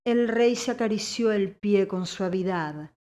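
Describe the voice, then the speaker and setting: A woman's voice: medium pitch, measured pace, darkish tone. A woman reading scripted audiobook narration as the storyteller.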